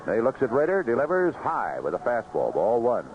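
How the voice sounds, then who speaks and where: Male baseball announcer, live play-by-play, very lively intonation, dull and noisy old radio sound. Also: mid-range voice, normal speed. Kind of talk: live play-by-play sports commentary. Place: outdoor ballpark broadcast booth. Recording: noisy muffled vintage 1970s radio broadcast.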